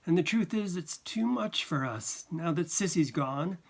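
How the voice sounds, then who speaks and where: A narrator voicing a timid, anxious woman who pleads and whines gently. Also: mid-range voice, expressive, unhurried, creaky. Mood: anxious, pleading. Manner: whining, hesitant, pleading.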